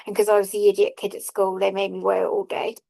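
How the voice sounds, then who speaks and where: A woman speaks over a video call, halting and self-deprecating, with plenty of fillers. Her pitch stays level and even. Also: vocal fry. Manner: hesitant, many fillers, self-deprecating laughs. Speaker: workshop participant. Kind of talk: casual chat in an online workshop.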